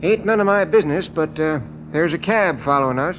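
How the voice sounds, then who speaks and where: An actor playing a folksy, obliging cab driver in a 1950s radio detective drama, with a plain, slangy, hesitant manner. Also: male voice, fairly high pitch, lively intonation, fairly quick, close to an everyday voice. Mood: helpful, concerned. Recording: muffled, slightly noisy 1950s radio recording.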